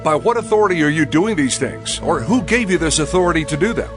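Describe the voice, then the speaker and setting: A man's voice: on the high side, lively intonation, fast, music in the background, close to an everyday voice. A man voicing a suspicious temple elder. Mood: questioning. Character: chief priests, challenging male religious leaders.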